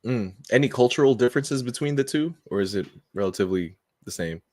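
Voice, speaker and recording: A man hosting a podcast, talking in a slangy, excitable, casual way. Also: medium pitch, fast, no room sound. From United States. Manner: slangy, excitable, laughs easily. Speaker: podcast host.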